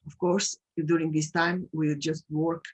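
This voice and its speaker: Brief: Portuguese-accented woman presenting a webinar over a computer mic, husky, hesitant, lots of restarts. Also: deep voice, level tone. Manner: halting, many fillers and restarts. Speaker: patient advocate hosting a webinar.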